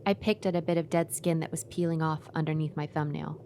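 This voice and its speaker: An actress playing an uneasy, obedient woman who tells her eerie story in a measured way in a radio horror play. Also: low voice, steady tone, warm tone, a little hiss or hum. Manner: measured, first-person storytelling.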